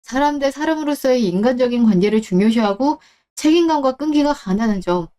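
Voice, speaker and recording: A woman's voice: a little high, dark tone, lightly acted. A woman playing a smug male job applicant, an ex-husband who talks in long, self-justifying answers in a story radio drama.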